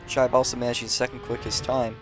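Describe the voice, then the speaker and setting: A man calmly commentating a sim race, calling driver positions and penalties with frequent fillers. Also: mid-range voice, a little fast, noisy background. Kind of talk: live sim racing commentary.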